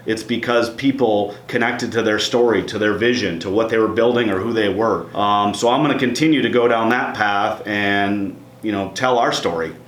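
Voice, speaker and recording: A man's voice: fairly low pitch, moderate pace, hardly any pauses, fairly bright tone, big-room sound. A man talking casually as the host of a vlog. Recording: faint background noise.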